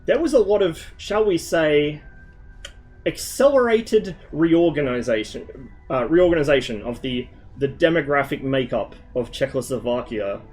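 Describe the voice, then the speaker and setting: A man's voice: a little high, expressive, unhurried, a little mellow, soft background music. A man streaming to his viewers in loose, rambling chat, swearing often and wandering between tangents, with a slight lisp on his L sounds and a lingering cough. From Australia. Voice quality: mild speech impediment on L sounds.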